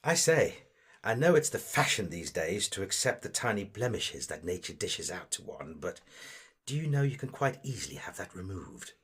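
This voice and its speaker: A man's voice: low-pitched, big pitch swings, normal speed, fairly bright tone, breathy edge, full character voice. A man playing a vain, snobbish amateur actor in a comic audio drama, with affected, gushing, pompous delivery. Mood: haughty.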